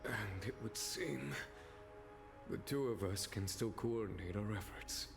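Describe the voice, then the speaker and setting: A man playing a calm, commanding, wounded general in a video game, speaking in a measured and formal way. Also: lowish voice, creaky, over music. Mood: calm, weary.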